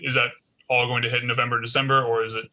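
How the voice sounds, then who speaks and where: A board member, a man, asks follow-up questions in a flat, level tone. His voice sounds very sharp and tense. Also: medium pitch, racing, rough recording. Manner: plain questioning. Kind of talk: meeting discussion.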